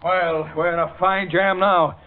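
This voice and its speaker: A police commissioner in an old radio serial. His high voice is urgent and official, with a boxy, very narrow broadcast sound. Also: flat delivery, a little slow. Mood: anxious. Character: anxious, authoritative male police commissioner. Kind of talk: old-time radio drama acting.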